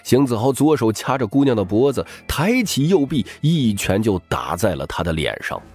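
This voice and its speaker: A man's voice: medium pitch, very animated, moderate pace, a little mellow, slightly rough, soft background music. A man narrating an audiobook as a dramatic scripted story.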